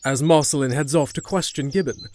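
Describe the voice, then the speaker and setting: A man's voice: fairly high pitch, a little fast, darkish tone, slight background noise. A man reading scripted narration for a fantasy audio drama.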